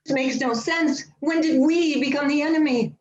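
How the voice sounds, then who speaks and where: A woman's voice: sits high, normal speed, reverberant, lo-fi sound, natural voice. A woman playing a devout, distressed villager in a stage play reading. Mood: anguished prayer.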